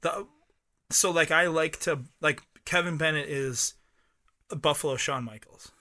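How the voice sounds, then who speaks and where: A man in his late thirties co-hosting a podcast, chatting casually and rambling through halting restarts. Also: on the high side, sharp tone. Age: 30s. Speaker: podcast co-host. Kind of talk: casual chat.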